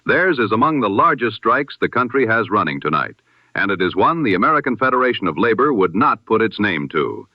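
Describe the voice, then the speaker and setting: A man reads a scripted news bulletin in 1920s radio style, formal and solemn, with measured oratorical delivery through an old-time radio filter. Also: lowish voice, sing-song, no room sound. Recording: dull, band-limited vintage radio sound.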